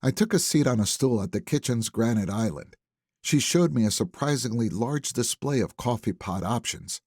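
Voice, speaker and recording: A man narrating a first-person thriller audiobook in a wry, conversational, expressive manner. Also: medium pitch, moderate pace, slightly rough, no echo, clean studio sound.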